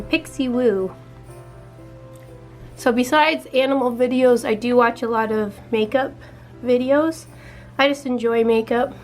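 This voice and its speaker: Chatty young woman, slightly raspy. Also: fairly high pitch. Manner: relaxed, chatty, explains each step. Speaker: beauty vlogger. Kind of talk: casual makeup chat.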